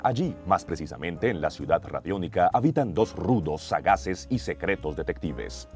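Melodramatic serial narrator, very low pitch, Colombian accent, ominous, music underneath. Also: male voice, animated, normal speed, a little mellow. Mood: ominous, grand. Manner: pompous, suspenseful. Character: pompous, ominous male narrator of a detective radio serial. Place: radio studio. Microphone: close studio broadcast mic.

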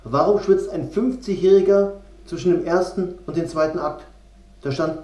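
Male comic, middle-aged, conversational and jokey, a little high in pitch, roomy home-recorded sound. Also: normal speed, mellow, highs cut off. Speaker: comedian and cabaret artist. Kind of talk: comedy monologue to camera. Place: small rooms of a flat, indoors. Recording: echoey room.

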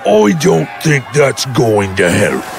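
A male demon, voiced by a man talks slowly in a creaky, gravelly voice that swings widely in pitch. He is grave, evasive and ominous, a dramatic audio drama figure. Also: big pitch swings, music in the background, crowd noise, heavily acted. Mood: guarded.

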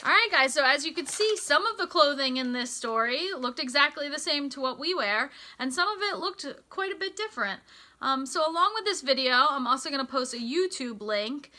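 Bright, animated American woman reading to children. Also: high voice. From United States. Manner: deliberate, sing-song delivery with emphasized words. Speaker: teacher reading aloud to children. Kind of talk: picture book read-aloud for young children. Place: small indoor room with racks of hanging clothes.

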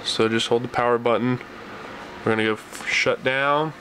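A man's voice: mid-range voice, normal speed. A man hosting a tech tutorial, explaining steps casually as he goes. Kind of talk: casual step-by-step screen tutorial. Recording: noisy room with steady hum.

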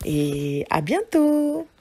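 A woman's voice: medium pitch, big pitch swings, extremely slow, dark tone, breathy edge. A woman in her forties, a singer-songwriter, talking through her music clips in a loose, rambling way with many hesitations. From France. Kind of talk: casual spoken commentary over music clips. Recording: music playing under the voice.

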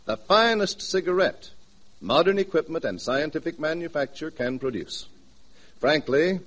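A man announcing on 1940s radio, reading an advertisement in a polished, emphatic manner. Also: on the high side.

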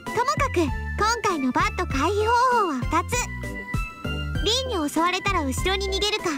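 A woman's voice: animated, normal speed, over loud music. A woman voicing a peppy, cheeky little girl in a Japanese visual novel comedy, with exaggerated junior-student politeness. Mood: eager, explanatory. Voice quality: childlike, shrill.

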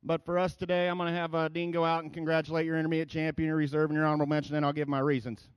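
A man's voice: on the high side, level tone, rapid-fire, clean tone, dry recording, muffled recording. A man judging a cattle show, giving his reasons off the cuff in a plain-spoken way, with fillers, in a large indoor arena.

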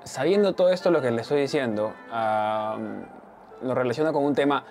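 A young man with a Peruvian Spanish accent, chatting lively and directly to his viewers in a casual vlog. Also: medium pitch, moderate pace, soft background music. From Peru. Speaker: travel vlogger. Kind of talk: casual vlog talk to camera.

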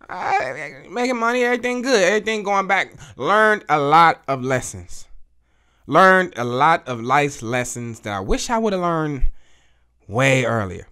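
A man in his thirties talking alone on his podcast, blunt and profane, repeating phrases as he tells stories, recorded on a studio boom mic in a small room. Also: pushed and bright. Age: 30s. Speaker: podcast host. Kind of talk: casual solo podcast monologue. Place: small indoor studio with photo wall. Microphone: studio mic on boom arm, headphones on.

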